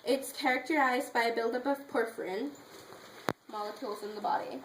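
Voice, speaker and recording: Higher-voiced woman presenting in an echoey room. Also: brisk. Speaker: presenter giving an informative speech. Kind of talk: prepared informative speech with slides. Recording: echoey room with faint background noise.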